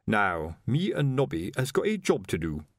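A man voicing a stout middle-aged male sergeant who speaks slowly with quiet menace. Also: huge pitch swings, no echo, studio quality. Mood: stern. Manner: slow, low, pointed.

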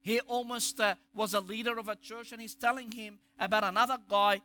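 Egyptian accent guy preaching, really high voice, emphatic, restarts a lot. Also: fairly even intonation, fairly quick, bright, forceful tone, a little hiss or hum. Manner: emphatic, rhetorical questions, frequent restarts. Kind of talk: sermon on scripture.

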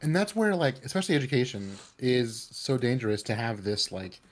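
A man's voice: mid-range voice, lively intonation, fairly quick. A man from the Bay Area talking casually in an interview, rambling with lots of fillers and restarts. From California Bay Area. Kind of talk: casual conversation.

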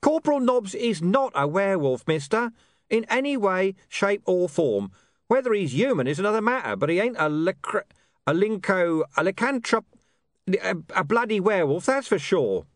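Picture a cocky, sly street dog who can talk, yapping in a high, brash voice. The pitch sits far above a normal man's, and every line is pushed out loud and sharp. The reading is dry and close. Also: sing-song, moderate pace, no echo. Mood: incredulous.

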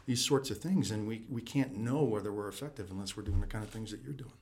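A man co-hosting a podcast interview, speaking in a measured way and often repeating words as he goes. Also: fairly low pitch, a little mellow, husky. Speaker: podcast co-host and associate dean.

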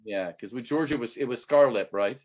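A man's voice: medium pitch, brisk. A man hosting a casual livestream interview, keen and chatty, often repeating his words and calling his guest man. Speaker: livestream host.

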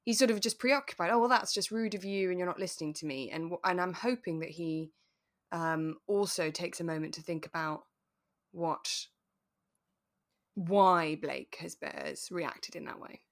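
A British woman co-hosting a podcast in a relaxed, reflective chat, explaining her thoughts as she goes. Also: fairly low pitch, normal speed. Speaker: podcast co-host. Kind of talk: relaxed chat reviewing a radio drama.

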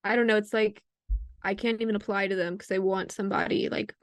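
A woman's voice: mid-range voice, flat delivery, fast, no echo. A young woman co-hosting a podcast, chatting casually and a little hesitantly, with lots of fillers. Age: young adult. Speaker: podcast co-host. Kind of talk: casual chat.

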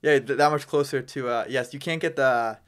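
Man, medium pitch, casual podcast guest, slangy, conversational explainer. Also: fairly quick, clear, resonant tone. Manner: loose, slangy explaining with frequent "like". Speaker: podcast guest, real estate business owner.

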